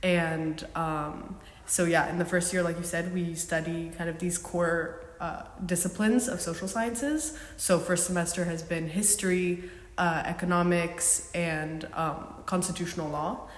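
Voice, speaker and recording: A young woman, a former student, explaining her university program in a podcast interview, relaxed with frequent ums. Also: lowish voice, unhurried. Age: young adult. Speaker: alumna guest. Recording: echoey room with long reverb.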